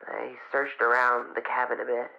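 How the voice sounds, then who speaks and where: A man playing a frightened young fox boy who records a halting, weary log in an audio drama. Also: medium pitch, level tone, gravelly, bright, forceful tone. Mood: weary, grave. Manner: halting, hesitant, weary delivery. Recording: muffled noisy handheld radio recording.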